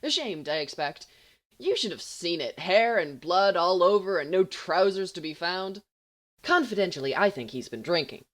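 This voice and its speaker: A woman voicing a cheeky, melodramatic teenage schoolboy in an audiobook, fibbing smoothly with blustering exaggeration. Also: on the low side, lively intonation, moderate pace, natural voice. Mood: gleeful. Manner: blustering, exaggerating, mock-confidential. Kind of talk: audiobook character voice.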